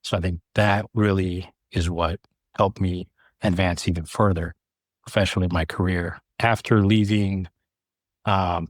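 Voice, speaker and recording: A man in his late thirties with a low-pitched voice recounts his own path as an interview guest. He speaks earnestly, laying out his story in short, measured phrases. The sound is dry, with very little room around the voice. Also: no echo. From California, United States. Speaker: air traffic controller, podcast guest. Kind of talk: interview storytelling, personal career account.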